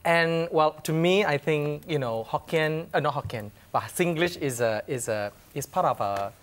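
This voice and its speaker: A man's voice: a little high, moderate pace, a bit bright. A Singaporean man on a discussion panel, speaking animatedly in Singaporean English with laughing asides. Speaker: panel host.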